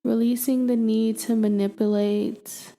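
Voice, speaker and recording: A woman leading a guided meditation in a soothing, gentle manner, leaving long pauses between invitations. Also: fairly high pitch, little pitch movement. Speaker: meditation guide and podcast host. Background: ambient meditation music with humming tones.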